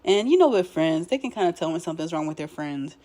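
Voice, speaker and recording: A young woman, hoarse and a bit nasal while recovering from illness, casually recapping a show episode in a laid-back way, clearing her throat now and then. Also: fairly low pitch, lively intonation, fast talker, warm tone. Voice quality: slightly nasal. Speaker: show commentator.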